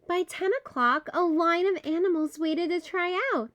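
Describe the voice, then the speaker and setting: A woman narrating a children's story aloud in an expressive storytelling style. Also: very high-pitched, moderate pace, muffled recording.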